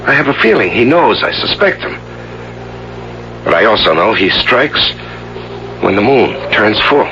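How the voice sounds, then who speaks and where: An earnest husband who presses his case with intensity. His pitch swings dramatically, in the style of 1970s radio drama. The sound is dull, with clear noise behind it. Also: very animated, moderate pace. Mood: intense, insistent. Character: earnest, suspected novelist husband, intense and coaxing. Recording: muffled, noisy old radio recording.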